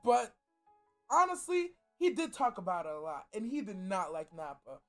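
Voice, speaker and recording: A young man hosting a reaction show in casual, joking, animated commentary. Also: high-pitched, normal speed, faint music behind. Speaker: reaction channel host. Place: small furnished game room indoors.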